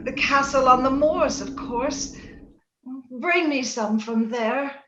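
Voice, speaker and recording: Actress as fevered young lady, dreamy romantic radio acting, very high voice, echoey dull recording. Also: slow, sharp tone, a little hiss or hum, heavily acted. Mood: wistful, weak. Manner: dreamy, yearning, theatrical. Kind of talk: old-time radio drama acting. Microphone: recorded remotely over video call. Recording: echoey, dull video-call sound, music underneath.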